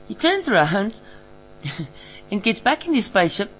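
A woman's voice: medium pitch, very animated, takes it slowly, highs cut off, slight background noise. A woman casually describing cartoons aloud, amused and laughing between phrases.

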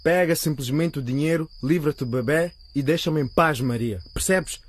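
A man's voice: a little high, moderate pace, breathy edge. An actor playing a dismissive teenage boy in a radio drama, curt and scornful. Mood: cold, scornful. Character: cold, dismissive teenage boy rejecting pregnant girlfriend.

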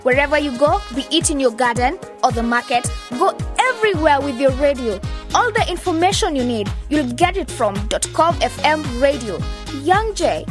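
An actress playing a confident, eager young community radio presenter in a radio drama, bubbly and promotional, with loud music behind her. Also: high-pitched. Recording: loud music bed under voice.

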